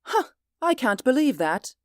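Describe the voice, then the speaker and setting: An actress in a 1940s radio drama playing a stern, skeptical former schoolteacher who speaks in a scornful, prim way. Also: female voice, sits high, big pitch swings, a little slow, dry recording, studio quality.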